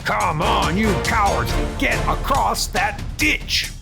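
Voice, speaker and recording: A man voicing a sadistic, bullying British drill sergeant, barking orders in a strained shout for a military comic drama. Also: high-pitched, animated, slow, gravelly, over loud music, voice pushed up, exaggerated voice. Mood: furious, taunting.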